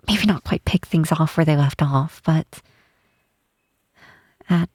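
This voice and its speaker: A woman voicing a tall, shy, wistful half-troll cleric in a soft, hesitant ASMR roleplay, close to the microphone. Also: low-pitched, dry recording, exaggerated voice. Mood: shy, hopeful. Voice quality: soft, breathy. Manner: hesitant, halting, tender. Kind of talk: ASMR roleplay, intimate softly spoken acting. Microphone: close microphone, dry.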